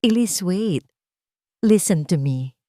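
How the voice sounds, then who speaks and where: A woman's voice: lowish voice, expressive, slow pace, dark tone, no room sound, studio quality. A woman voicing a guarded young male CEO in a dramatic audio love story, urgent and emotionally strained. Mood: panicked pleading.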